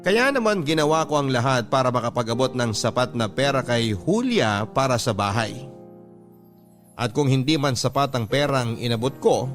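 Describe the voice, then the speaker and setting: Man narrating a heartfelt confession. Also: mid-range voice. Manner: heartfelt, addresses the host directly. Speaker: letter sender narrating his story. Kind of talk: radio drama narration. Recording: music bed under narration.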